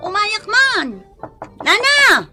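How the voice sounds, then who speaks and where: Fretful young ilocano village girl in a radio drama, really high voice, outspoken, exclaims a lot. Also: sing-song, extremely slow, music in the background, heavily acted. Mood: alarmed.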